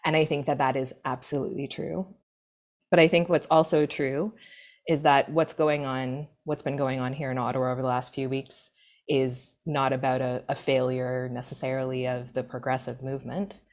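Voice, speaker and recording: A young Canadian woman speaking on a conference panel, measured and earnest, with deliberate phrasing. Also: extremely low pitch, level tone, a little mellow.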